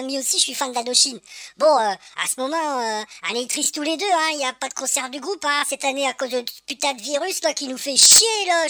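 A woman's voice: high-pitched, bright, forceful tone. A woman voicing a cheeky, slangy young male radio fan who rambles with lots of hesitations in a comic phone-in sketch.

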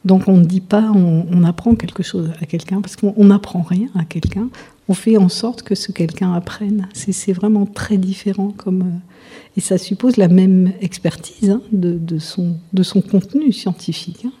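Lady lecturer explaining stuff in an interview, really dark husky voice, emphatic and teachy. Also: mid-range voice, fairly even intonation, normal speed. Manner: didactic, emphatic. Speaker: university teacher, interview guest.

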